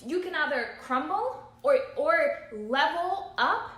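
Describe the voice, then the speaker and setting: A woman's voice: sits high, expressive, takes it slowly, fairly bright tone. Earnest woman in her thirties, roomy echo. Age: 30s. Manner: earnest and emphatic, repeats key points. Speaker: business coach. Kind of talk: motivational livestream talk.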